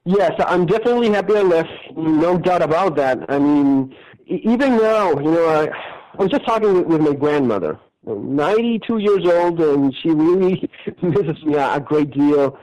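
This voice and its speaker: Man, halting and thoughtful, radio. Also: on the high side, expressive, low-quality recording. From Argentina. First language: Spanish. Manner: thoughtful, halting, many restarts and soft laughs. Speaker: guest. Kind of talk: casual interview conversation.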